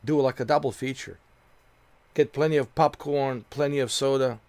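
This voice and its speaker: A man's voice: medium pitch, fairly even intonation, moderate pace, darkish tone, no room sound. A man born in Bosnia who grew up in America, chatting casually and rambling while streaming a game, with many fillers and repeated words. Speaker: gaming streamer. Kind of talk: casual chat while playing.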